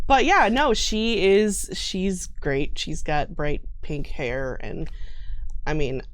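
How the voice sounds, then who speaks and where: A woman's voice: low voice, slow. A woman chatting casually as a guest on a gaming stream, giggly and self-deprecating. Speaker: guest, tabletop game player. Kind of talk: casual chat.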